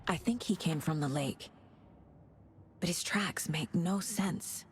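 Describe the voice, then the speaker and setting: A woman acting an intuitive, composed FBI agent in a video game, speaking in an understated, deliberate way with clipped phrases. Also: lowish voice, a little hiss or hum. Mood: focused, intrigued. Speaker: lead detective character.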